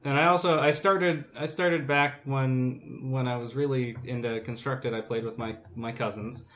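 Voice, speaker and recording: A male podcast co-host in relaxed conversation, with an average-pitched voice. He speaks haltingly, restarting his thoughts and swearing offhandedly. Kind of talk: casual chat.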